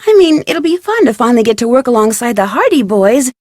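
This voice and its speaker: A young woman playing a plucky, curious amateur detective in scripted video game dialogue, with a clear, earnest, chatty delivery. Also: a little high, big pitch swings, fast talker, darkish tone, no room sound, studio quality. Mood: excited, slightly uneasy. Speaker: voice actor as game heroine.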